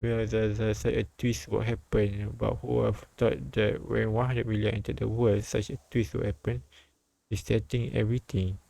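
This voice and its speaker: A man reading a story and its dialogue aloud as a live streamer, often halting and repeating phrases. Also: fairly low pitch, flat delivery, creaky, dark tone, no echo, low-quality recording. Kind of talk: read-aloud narration and dialogue translation with side comments.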